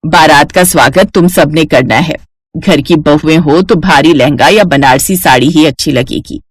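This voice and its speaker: A woman's voice: deep voice, moderate pace, husky, dry recording, clean studio sound, voice pushed down. A woman voicing an elderly, commanding village mother-in-law in a cartoon family story. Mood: insistent.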